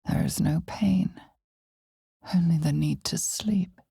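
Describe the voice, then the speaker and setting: A grave, all-knowing fantasy game narrator in a low, husky voice with a creaky edge. The delivery is deliberate and ominous, breathy and often close to a whisper, like dark storytelling told to the listener directly. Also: female voice, no room sound, exaggerated voice. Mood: ominous, hushed. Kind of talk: scripted dramatic second-person fantasy narration.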